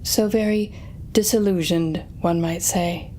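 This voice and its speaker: Villainess for audio roleplay, played by a woman: slightly low register, theatrical and needling, intimate address to the listener, faint noise behind. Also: steady tone, warm tone, close to an everyday voice. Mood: probing, sly. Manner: taunting, theatrical, drawn-out teasing phrasing. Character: sardonic female telepathic supervillain, defeated but smug.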